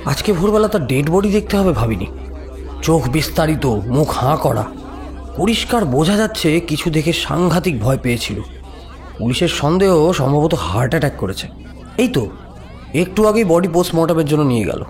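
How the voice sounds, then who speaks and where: A man plays a gossipy, shaken younger local in an audio drama. His voice is slightly high with animated intonation, and he explains in an excited rush of detail. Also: normal speed. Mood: shaken gossip.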